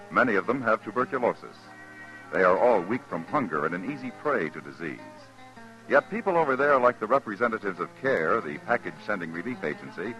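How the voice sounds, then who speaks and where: A man announcing in a formal, measured and emphatic 1950s radio style. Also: fairly low pitch, a bit bright. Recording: muffled vintage radio, music behind.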